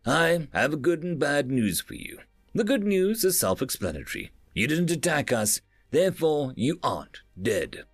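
A man's voice: a little high, sing-song, moderate pace, dry recording, soft background music, pitched above the performer's own voice. A man voicing a self-assured alien ship commander, breezy and smug, addressing a crew in a science fiction audiobook. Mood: breezy, amused.

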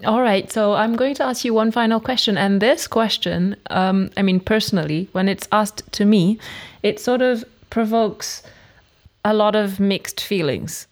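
A woman hosting a podcast interview, quick and upbeat. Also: medium pitch. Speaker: podcast host.

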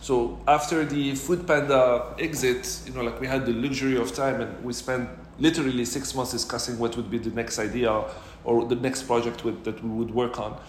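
A man from Morocco with a mid-range voice explains his background as a guest on a podcast. He speaks fluently, in a friendly storytelling way. There is clear room echo and a faint background noise. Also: mid-range voice, steady tone, moderate pace, few pauses. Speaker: startup co-founder, guest. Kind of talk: casual interview.

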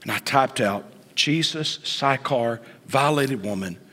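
Late-fifties pastor, hushed to soaring. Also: male voice, expressive, takes it slowly. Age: 50s. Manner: swings from hushed whispers to sudden high outbursts. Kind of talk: sermon. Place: church sanctuary. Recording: long church reverb.

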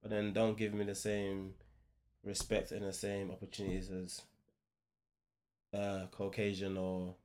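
Man raised in Ghana, deeper voice, calm reflective storyteller in a group chat. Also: unhurried, long pauses, slight background noise. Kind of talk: casual group discussion.

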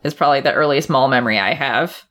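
A woman co-hosting a podcast in casual, playful chat, quick to giggle. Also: rumbling low, fairly bright tone. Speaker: podcast co-host.

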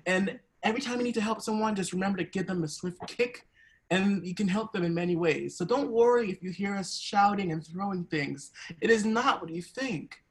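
Doting, deluded mom, played by a woman: medium pitch, reassuring, explanatory. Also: a little fast, natural voice. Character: cheerfully deluded doctor mother explaining violence as love. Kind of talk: improvised radio-play parody.

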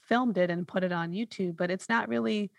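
Woman podcast host with a creaky voice. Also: medium pitch, a little fast, no room sound. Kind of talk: casual reflective podcast conversation.

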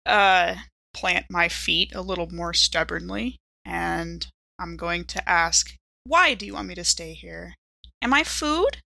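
A woman takes part in a tabletop roleplaying game, talking casually and wryly. Her voice is bright and edged with vocal fry, at an unhurried pace, close and dry on the mic. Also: fairly low pitch, no room sound. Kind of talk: casual improvised game play.

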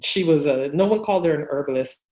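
A woman's voice: sits low, animated, fast talker, mellow, reverberant. A woman from Guyana, a guest herbalist and spiritual healer, telling her story thoughtfully and unhurriedly with frequent ums. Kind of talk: relaxed interview storytelling.

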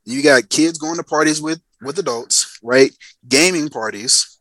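A man's voice: fairly high pitch, moderate pace, fairly bright tone. A man in his thirties, a podcast guest, telling a story in emphatic casual chat and repeating lines for effect. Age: 30s.

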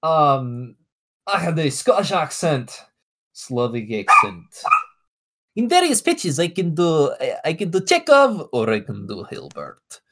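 A woman's voice: low voice, huge pitch swings, measured pace, a little hiss or hum. A young adult woman, a dungeon master, chatting casually on a podcast, rambling, swearing and laughing often.

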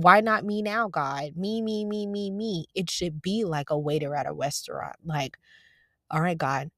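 A woman hosting a devotional podcast, preaching casually from her parked car, talking to listeners as y'all, her voice going a little raspy. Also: on the low side, dry recording, studio quality. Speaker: podcast host.

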